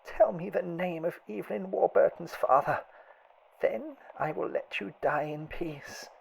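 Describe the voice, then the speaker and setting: A man voicing an ancient, relentless old nurse woman in an audiobook horror tale, with a thin, breathy voice and solemn, insistent delivery. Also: high-pitched, unhurried, voice pushed up, full character voice, poor audio.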